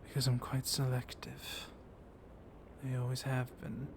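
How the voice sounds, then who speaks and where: A man voicing a civil, softly dominant, centuries-old vampire librarian in an ASMR roleplay, hushed and often slipping into whispers. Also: medium pitch, unhurried. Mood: soft, flirtatious. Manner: hushed, breathy, often whispering, teasing with soft chuckles. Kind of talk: ASMR roleplay, intimate one-sided dialogue. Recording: noisy storm ambience behind voice. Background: thunder and steady ambient noise.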